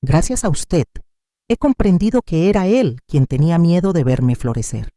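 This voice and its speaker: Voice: mid-range voice, animated, normal speed, dark tone, no echo, clean studio sound, natural voice. A narrator voicing a grateful, newly confident woman of forty-five, respectful and earnest, in a story. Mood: earnest gratitude.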